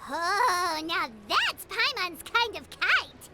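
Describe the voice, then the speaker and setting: A woman voicing a tiny, excitable, greedy, childlike fairy companion in a video game, with a squeaky voice. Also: slow pace, full character voice. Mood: eager. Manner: speaks of herself in third person. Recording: music behind the voice.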